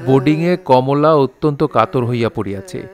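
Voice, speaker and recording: A man's voice: mid-range voice, fast talker. A man narrating an audio drama in a formal, literary manner.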